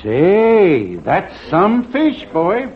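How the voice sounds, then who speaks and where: Friendly small-town fish buyer, raised pitch, very animated, folksy, thin tinny radio recording. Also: male voice, slight background noise, full character voice. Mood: genial, impressed. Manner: folksy drawn-out small talk.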